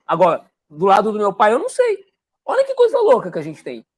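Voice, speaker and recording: A man's voice: sits high, huge pitch swings, quick pace, breathy edge. A man hosting a live-streamed interview. He explains things reflectively and asks rhetorical questions. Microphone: video call.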